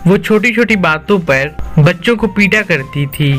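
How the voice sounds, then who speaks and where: A woman's voice: lowish voice, expressive, a little slow, fairly bright tone, faint music behind. A woman reading scripted story narration as the narrator.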